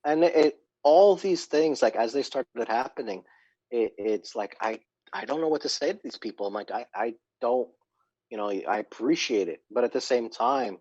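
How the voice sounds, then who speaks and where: A man guest on a casual podcast who tells long, animated stories and often jumps into quoting other people. His voice sits at a middle pitch. The sound is dry and a little muffled. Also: moderate pace, no room sound. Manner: animated storyteller, acts out quotes. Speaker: guest, company founder.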